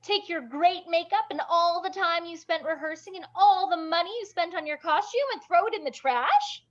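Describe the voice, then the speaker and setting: A woman playing a brash, bubbly, blunt burlesque veteran in an animated table read, gushing and bossy with heavy emphasis. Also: extremely high pitch, normal speed, lo-fi sound. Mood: pushy.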